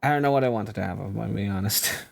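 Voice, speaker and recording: A man's voice: fairly low pitch, quick pace, vocal fry. A man giving casual playthrough commentary as the host of a gaming channel.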